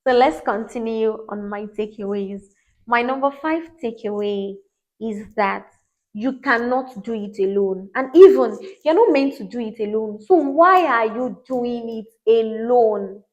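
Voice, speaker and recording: A woman's voice: a little high, measured pace, darkish tone, lo-fi sound. An earnest woman hosting her podcast. She talks in an encouraging, reflective way and often repeats words for emphasis. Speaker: podcast host. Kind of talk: reflective motivational talk.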